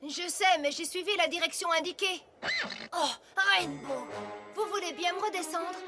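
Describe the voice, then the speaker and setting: A woman's voice: very high voice, normal speed, over music. A woman voicing a stubborn, plucky young female pony in a French cartoon dub, feisty and plain-spoken. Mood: defensive.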